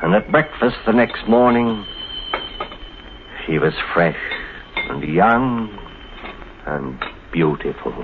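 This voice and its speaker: An actor playing a nervous, guilt-ridden husband in a 1950s radio mystery, speaking haltingly with dramatic pauses and repeated phrases. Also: male voice, on the low side, expressive. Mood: haunted, brooding. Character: nervous, guilt-ridden husband hiding a murder, narrating his story. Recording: scratchy, tinny 1950s radio recording.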